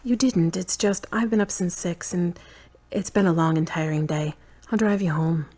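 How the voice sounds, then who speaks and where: A woman plays a fond but uneasy girlfriend in an audio drama. Her voice sits a little low. She talks earnestly and frankly, often questioning, with a tired edge. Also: normal speed, mellow, natural voice. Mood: weary.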